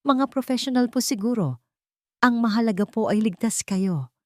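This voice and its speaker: A woman's voice: fairly high pitch, lively intonation, normal speed, a little mellow, no room sound, studio quality, voice pushed up, natural voice. A woman voicing an elderly male family driver who is anxious, polite and servile. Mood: deferential.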